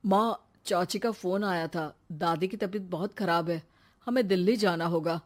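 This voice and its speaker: A woman voicing a caring, teasing young married man in an animated moral story. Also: lowish voice, normal speed, close to an everyday voice. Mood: earnest, concerned.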